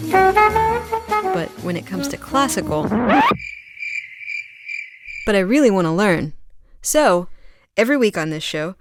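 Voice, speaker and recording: A woman hosting a radio interview in a studio, asking curious, upbeat questions. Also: on the low side. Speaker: radio show host.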